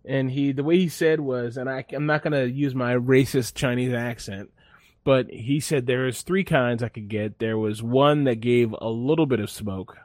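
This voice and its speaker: A man's voice: medium pitch, steady tone, moderate pace, a little mellow, dry recording, clean studio sound. A man chatting casually on a podcast, telling a rambling, laid-back story full of fillers and restarts. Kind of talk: casual chat.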